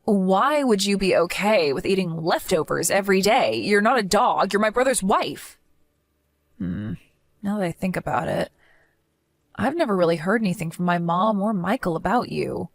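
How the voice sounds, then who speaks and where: A woman's voice: on the low side, no room sound, clean studio sound. A woman voicing a protective young sister-in-law who speaks in an earnest, reassuring manner.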